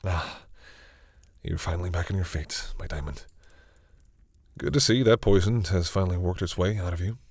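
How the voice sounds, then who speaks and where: Man acting a deep-voiced, bashful vampire boyfriend. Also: breathy edge, dry recording, clean studio sound. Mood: awkward, caring. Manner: halting, stammering, bashful. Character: flustered, protective male vampire smitten with a human. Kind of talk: intimate audio roleplay spoken to the listener.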